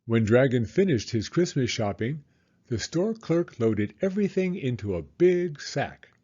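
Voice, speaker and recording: A man reading a storybook aloud to young children in a deliberate, expressive storytelling way. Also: medium pitch, breathy edge, dull audio.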